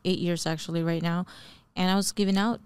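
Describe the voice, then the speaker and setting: A woman's voice: on the low side, steady tone, a little fast, a little mellow, smooth. A woman in her forties, from Bangladesh and raised in New Jersey, chatting as an interview guest, rambling and laughing often. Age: 40s. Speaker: real estate investor guest. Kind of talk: casual interview chat.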